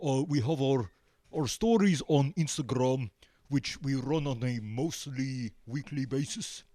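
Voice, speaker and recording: A man answering casually as a musician being interviewed, hesitant and pausing often. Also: medium pitch, dark tone, dry recording. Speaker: band member, interview guest.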